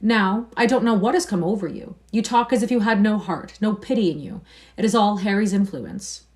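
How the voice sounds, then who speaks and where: A woman's voice: mid-range voice, normal speed, voice pushed up, lightly acted. A woman voicing a grave, pained male painter in an audiobook-style reading, earnest and reproachful. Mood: distressed pleading.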